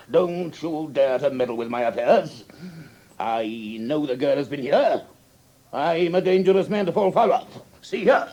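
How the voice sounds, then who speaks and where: Blustering, menacing English brute, husky-voiced. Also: male voice, a little high, a little mellow, full character voice. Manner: blustering, threatening, demanding. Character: burly, menacing, violent-tempered English doctor and stepfather. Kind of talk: radio drama acting.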